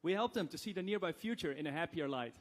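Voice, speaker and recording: A man from the Netherlands with a fairly high voice recites a rhyming poem in an earnest, sing-song way. He stands in the audience of a launch event, and his voice echoes through the big hall. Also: lively intonation, fast. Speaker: audience member. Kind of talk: reading a rhyming poem aloud.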